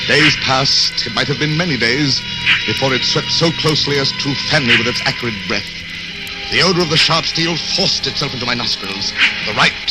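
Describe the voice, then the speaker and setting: An actor playing a desperate condemned prisoner in theatrical, intense 1940s radio horror style. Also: male voice, medium pitch, lightly acted, low-quality recording. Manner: theatrical, intense dramatic delivery. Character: condemned prisoner captain, desperate, tormented, wavering between dread and resolve. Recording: old radio broadcast with music behind.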